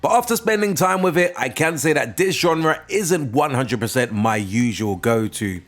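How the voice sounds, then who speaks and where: A man's voice: mid-range voice, lively intonation, normal speed. A man narrating a scripted video game review as the channel's host, in an informal, conversational way. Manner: informal, conversational opinion-giving.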